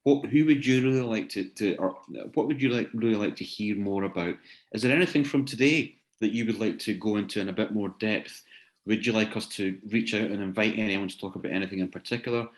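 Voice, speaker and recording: Low-pitched man, hesitant informal host. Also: brisk. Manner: hesitant, repeats words while searching for phrasing. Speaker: host of an online seminar. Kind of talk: informal spoken closing remarks to attendees. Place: online video session.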